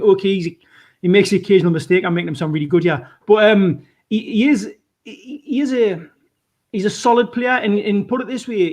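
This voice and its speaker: A man with a Geordie accent giving his football opinions in casual podcast chat, animated, often restarting his sentences. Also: high-pitched. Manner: animated, self-interrupting, many restarts. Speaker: podcast regular.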